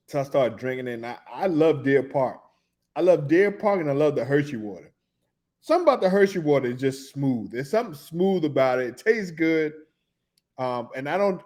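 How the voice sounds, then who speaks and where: A man, talking loosely and excitedly about basketball as a podcast co-host in casual chat. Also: medium pitch. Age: 40s.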